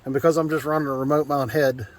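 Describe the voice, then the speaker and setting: A man's voice: mid-range voice, steady tone, quick pace. An American man giving a casual, conversational vlog walkthrough in a folksy, plain-spoken way. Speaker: vlogger, radio hobbyist.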